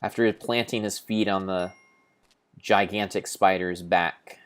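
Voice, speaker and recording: A low-pitched man narrates gameplay as if it were a grand epic tale. His delivery is theatrical and solemnly overblown, made up as he goes, with deliberate pauses and the odd corrected word. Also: steady tone. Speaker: narrator of a video game playthrough. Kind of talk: mock-epic dramatic storytelling, improvised.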